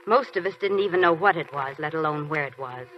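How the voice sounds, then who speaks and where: An actress as a wry young Army nurse on old radio. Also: female voice, low-pitched, quick pace, natural voice. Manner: dry, teasing wit. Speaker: Army nurse lieutenant and narrator. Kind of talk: 1940s radio drama acting. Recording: dull 1940s radio broadcast with faint music.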